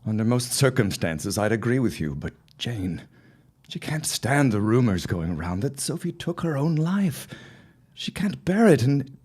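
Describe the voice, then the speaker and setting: A man's voice: mid-range voice, big pitch swings, a little mellow, husky. A grieving, troubled father. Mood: pleading. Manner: earnest, troubled, halting. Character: grieving middle-aged father, anxious husband. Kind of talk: audio drama acting.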